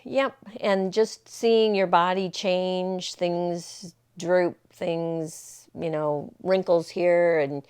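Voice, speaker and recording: A woman's voice: lowish voice, steady tone, extremely slow, few pauses, a little mellow, smooth. A woman in her sixties talking casually to camera, rambling and reflective, with many fillers and restarts. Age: 60s. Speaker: vlogger. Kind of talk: casual personal talk to camera.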